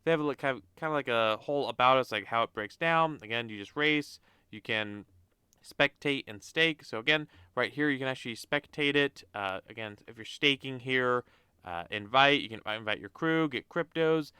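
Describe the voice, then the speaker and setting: Young guy w a stuffy cold nose, bright crisp voice, dry close sound, rambly with lots of likes and uhs. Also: mid-range voice, moderate pace, dry recording. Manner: rambling, many fillers and restarts. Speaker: crypto channel host. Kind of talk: casual screen-share walkthrough.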